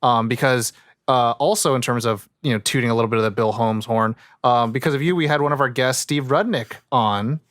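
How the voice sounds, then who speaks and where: A man's voice: mid-range voice, fairly quick, a bit bright, no echo, clean studio sound. A man hosting a podcast, asking his questions in a casual, conversational way. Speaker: podcast host and interviewer.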